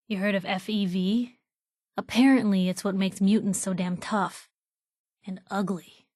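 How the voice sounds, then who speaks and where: An actress playing a sardonic, plucky young reporter with an American accent, making casual companion remarks in a video game. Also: female voice, medium pitch, a little mellow, dry recording, clean studio sound, natural voice. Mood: serious, wry. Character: wry, plucky young female reporter in a post-nuclear wasteland.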